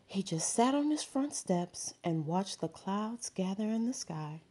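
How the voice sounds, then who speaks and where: A woman, a teacher, reading a children's picture book aloud with slow, expressive storytelling. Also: mid-range voice. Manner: slow, expressive storytelling with sound words and character voices.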